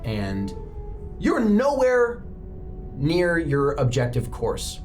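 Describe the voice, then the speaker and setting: A man running a tabletop roleplaying game, narrating scenes casually with fillers and bursts of laughing excitement. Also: fairly high pitch, extremely slow. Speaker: game master. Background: music.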